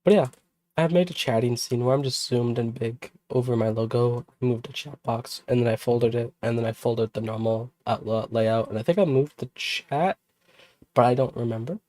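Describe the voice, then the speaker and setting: A woman streamer with a sick, hoarse voice, chatting casually and rambling with many fillers and restarts. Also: very deep voice, normal speed, dark tone, no echo. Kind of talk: casual livestream chat.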